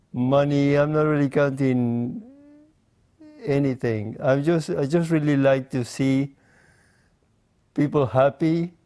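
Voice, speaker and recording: A man in his forties from Mexico, speaking English as an interview guest, in a slow, halting way with many pauses and fillers. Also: medium pitch, dark tone, smooth. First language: Spanish. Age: 40s. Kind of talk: casual interview conversation.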